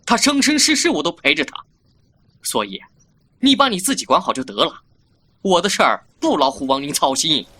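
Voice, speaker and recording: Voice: medium pitch, sing-song, normal speed, bright, forceful tone, a little hiss or hum. A performer playing a mouthy, petulant young fox-spirit boy in a Chinese audio drama, scolding and cocky. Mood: defiant.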